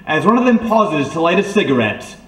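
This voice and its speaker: A man's voice: on the high side, big pitch swings, brisk, a bit bright, slight background noise. A man announcing and narrating in the scripted style of an old-time radio serial. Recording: distant echoey room.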